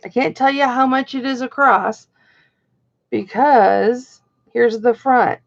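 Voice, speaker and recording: Slow, gravelly-voiced woman auction host. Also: fairly high pitch, dull audio. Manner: chatty, greets viewers by name. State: slightly hoarse. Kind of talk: casual live-stream auctioneering.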